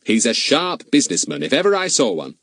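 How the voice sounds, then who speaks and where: Storybook role: male reader as smart schoolboy detective, lively intonation, courteous, innocently cheeky, talkative. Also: mid-range voice, fairly quick, husky, no room sound, studio quality, pitched above the performer's own voice, exaggerated voice. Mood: shrewd. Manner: polite, mock-innocent, talkative. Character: clever, cheeky, politely confident schoolboy sleuth.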